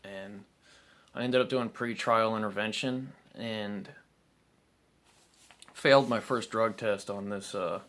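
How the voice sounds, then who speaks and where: Young male vlogger, low voice, hesitant and frank, lots of pauses and restarts. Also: fairly bright tone. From South Carolina, United States. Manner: halting and candid, many ums and restarts. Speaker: vlogger telling his recovery story. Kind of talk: unscripted personal storytelling to camera.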